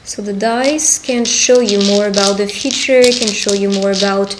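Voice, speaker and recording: A woman speaking conversationally and encouragingly as a witch giving a personal love reading, pausing to interpret as she goes. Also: medium pitch, fairly even intonation, heavy background noise. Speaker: tarot reader and roleplay video host. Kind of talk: intimate roleplay fortune-telling addressed to the listener.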